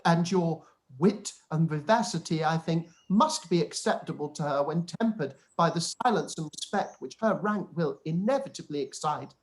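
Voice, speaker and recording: A man's voice: fairly high pitch, lively intonation, moderate pace, hardly any pauses, natural voice, poor audio. Pompous, long-winded young clergyman played by a man, self-satisfied and formal, for a period radio drama read. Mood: self-satisfied, earnest.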